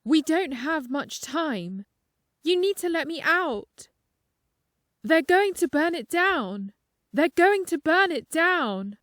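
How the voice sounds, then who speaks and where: A woman voicing a terrified, paranoid man who urgently shouts and repeats his warnings in an audiobook story. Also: sits high, very animated, slow pace, no room sound, studio quality, voice pushed up, heavily acted. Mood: panicked, pleading.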